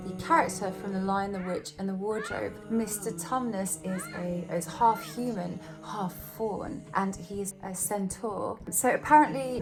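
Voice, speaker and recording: British woman rambling on in a chatty vlog, mid pitch, lots of ums and restarts, faint music behind her. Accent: British English. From Britain. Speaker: video creator. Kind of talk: meandering casual monologue.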